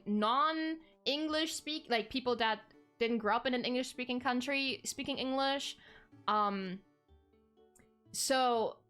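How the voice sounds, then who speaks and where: A woman's voice: on the high side, a bit bright, clear, resonant tone. A young woman from Germany, chatting casually with her stream viewers, rambling with frequent ums and self-corrections.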